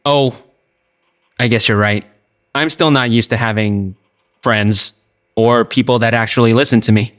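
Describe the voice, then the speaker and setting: A man plays a bookish American teenage boy in an audio drama. He is earnest and a touch awkward, with a conversational, medium-pitched voice. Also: steady tone, unhurried, long pauses, a bit bright, lightly acted.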